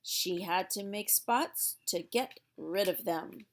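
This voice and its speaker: Slow-paced woman reading a children's storybook. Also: mid-range voice. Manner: theatrical, with drawn-out emphasized words. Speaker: children's storybook read-aloud reader. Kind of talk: expressive storybook narration for young children.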